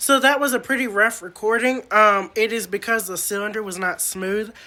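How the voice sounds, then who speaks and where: A man's voice: high voice, fairly even intonation, moderate pace, bright, forceful tone. A man presenting an informal, hands-on demonstration of antique recording equipment, explaining each step as he goes.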